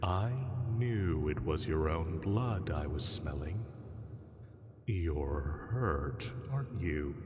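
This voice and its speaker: A man voicing an ancient dragon in an audio roleplay, menacing and smug, taunting his prey with deliberate, mock-pitying words. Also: very deep voice, expressive, darkish tone, heavily acted. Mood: mocking, condescending. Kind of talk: slow, intimate scripted roleplay monologue. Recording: cavernous long reverb.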